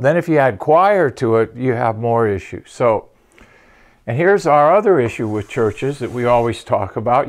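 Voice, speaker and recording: An older man in his sixties teaches in an informal lecture style, with a medium-pitched voice. He explains things point by point like an instructor at a chalkboard, often checking that the listener follows. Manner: explanatory, punctuates points with "okay". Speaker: acoustics company presenter and instructor. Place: indoor studio, at a chalkboard.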